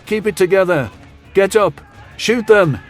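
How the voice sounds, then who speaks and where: A man's voice: sits high, measured pace, soft background music, voice pushed up. A man voicing an aggressive, blunt human soldier barking urgently in a sci-fi audio story. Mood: urgent, commanding.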